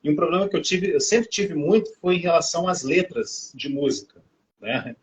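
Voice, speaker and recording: A man's voice: fairly high pitch, moderate pace, a little hiss or hum. A man in his thirties hosting a casual interview, chatty and often adding tag questions. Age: 30s. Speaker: host. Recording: dull lo-fi audio.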